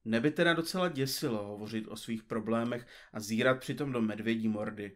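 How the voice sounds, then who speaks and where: A man's voice: medium pitch, close to an everyday voice. A man playing a pompous, absent-minded detective in an audio comedy drama, speaking in florid, formal, bookish phrases. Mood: pompous, fussy.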